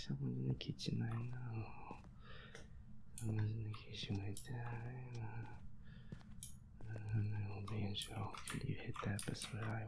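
Young man, gamer, breathy whispering, gravelly fry, profane, jittery, casual commentary. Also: lowish voice, low-quality recording. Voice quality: breathy, hushed, often whispering. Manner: profane, jittery, muttering to himself and at the game's ghost. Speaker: gamer playing a horror game. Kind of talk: casual reactive gameplay commentary.

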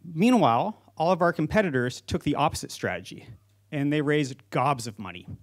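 A man's voice: a little high, animated, moderate pace. A man in his forties giving a prepared conference speech as a company founder, speaking into a handheld microphone on stage. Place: stage in an auditorium with seated audience.

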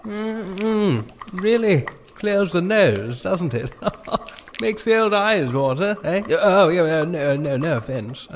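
A man's voice: high-pitched, expressive, slow, slight background noise, exaggerated voice. An actor playing a bumbling king in a comic audio drama, hesitant, stammering and blustery. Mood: dithering. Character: bumbling, dithering, sceptical old-fashioned king.

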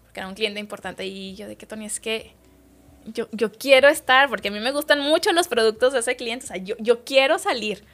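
A woman's voice: a little high, fairly quick. Chatty woman telling stories. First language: Spanish. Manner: lively storytelling, quotes others' words. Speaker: interview guest. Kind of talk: casual interview chat.

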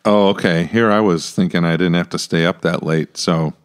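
A man's voice: deep voice, moderate pace, smooth. A middle-aged American man podcast host talking casually and thinking aloud, close on a studio mic. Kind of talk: casual discussion. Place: small podcast room with acoustic panels. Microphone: close studio mic on stand.

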